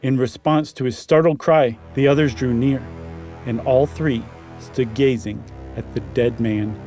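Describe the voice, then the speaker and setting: A man's voice: medium pitch, steady tone, a little slow, warm tone, soft background music. A man co-hosting a podcast, narrating a spooky story and chatting casually. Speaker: podcast host.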